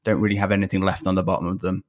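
A man's voice: deep voice, level tone, racing. Young man with a Leicester accent. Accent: Leicester English. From England. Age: 20s. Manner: rambling, full of ums and self-deprecating asides. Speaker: podcast guest. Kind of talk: casual interview chat. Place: home, remote call.

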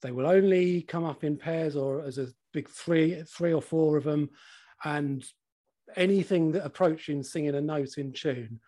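A man, his voice slightly husky and croaky. He sits a little high in pitch and talks loosely in a casual podcast chat, laughing often and swearing freely. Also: unhurried, mellow. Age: 40s. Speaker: podcast co-host.

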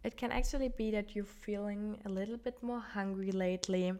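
A woman's voice: mid-range voice, a little fast. Woman with a flu-affected voice. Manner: calm, gently encouraging self-care advice. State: sick with the flu, voice off. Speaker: podcast host. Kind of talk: solo wellness podcast monologue.

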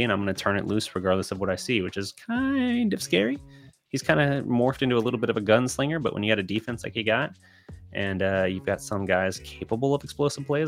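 Deep-voiced man with a scratchy voice. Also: fairly bright tone. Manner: thinking aloud, with restarts and fillers. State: voice getting scratchy and raspy. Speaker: podcast host. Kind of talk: solo sports podcast with listener questions.

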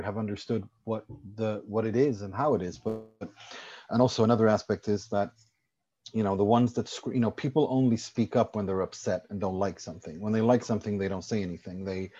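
A man's voice: lowish voice, steady tone, fast, warm tone, highs cut off. A man from Sweden, a football journalist guesting on a podcast, explaining his views at length with firm confidence. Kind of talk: casual podcast discussion.

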